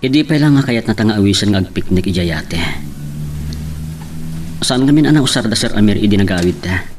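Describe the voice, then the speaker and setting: A man's voice: on the low side, lively intonation, fairly quick, background noise, natural voice. A man acting a devoted young suitor, reassuring and earnest, with an Ilocano accent in a radio romance drama. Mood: thoughtful. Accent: Ilocano, Philippines.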